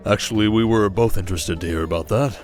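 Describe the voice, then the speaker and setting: A man acts out a young king in a fantasy audio drama. His voice sits a little low, with a stately delivery and a wry, teasing streak. Music plays softly behind him. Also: quick pace, close to an everyday voice. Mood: dry, regal. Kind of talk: audio drama acting, ASMR roleplay.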